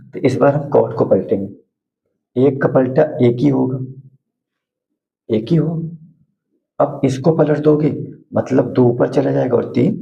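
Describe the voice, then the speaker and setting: Hoarse male math tutor with a gravelly, dark voice and a Hindi accent; drills steps, quizzes the class; dull lo-fi recording. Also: mid-range voice. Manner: repetitive, asks students questions and answers them himself. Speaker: math teacher. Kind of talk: board lesson for school students.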